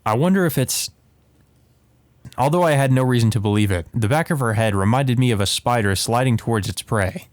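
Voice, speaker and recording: A man's voice: mid-range voice, brisk, no room sound. A man hosting a let's play, reading visual novel text aloud with casual commentary.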